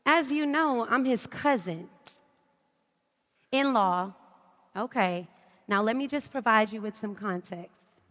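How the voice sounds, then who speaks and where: An actress playing a self-important, gossipy cousin, chatty and emphatic in a theatrical stage style. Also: female voice, a little high, expressive, slow pace, long pauses, a bit bright. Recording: reverberant hall, stage performance.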